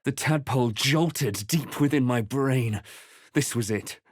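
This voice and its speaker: A man voicing a brooding adventurer hero in a game, narrating his perils in a measured, grave manner. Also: medium pitch, no room sound, studio quality, lightly acted. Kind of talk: dramatic game narration and short character remarks.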